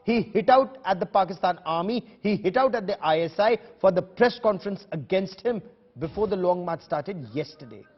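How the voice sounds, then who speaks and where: A high-voiced man with an Indian English accent anchors television news. His delivery is emphatic and theatrical, repeating phrases for weight. The broadcast audio is a little muffled, with faint music behind him. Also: animated, normal speed, breathy edge. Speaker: TV news anchor and debate host. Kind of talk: dramatic news presenting and pointed questioning.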